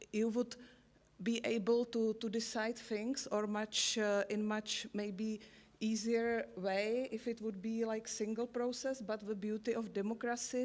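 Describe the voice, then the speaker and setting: A woman's voice: medium pitch, darkish tone. A woman answering as a panelist in a discussion, hesitant and searching for words.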